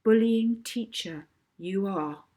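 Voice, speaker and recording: A woman's voice: mid-range voice, slow, mellow, natural voice. A woman voicing a confident young girl stage hypnotist in a storybook, theatrical and commanding.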